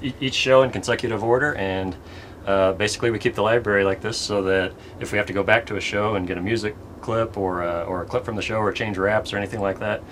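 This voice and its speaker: A man's voice: fairly low pitch, fairly even intonation, quick pace, a bit bright, slight background noise. A man casually explaining an audio library to visitors as a staff member showing them around.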